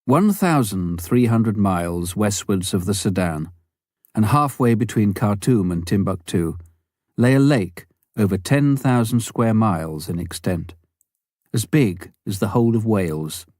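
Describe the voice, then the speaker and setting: A man narrating a written memoir as an audiobook reader, in a measured, deliberate way. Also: on the low side, expressive, darkish tone, no room sound, clean studio sound.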